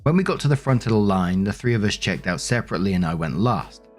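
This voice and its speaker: Male story narrator, low register, scripted reading plus off-the-cuff host remarks, soft music bed behind. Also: animated, quick pace. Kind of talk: scripted story narration with casual spoken commentary.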